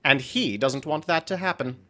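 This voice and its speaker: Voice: medium pitch, moderate pace, sharp tone, natural voice. An actor in an audio drama playing an arrogant, secretive demon who has possessed a man's body, formal and scornful in manner. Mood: grudging.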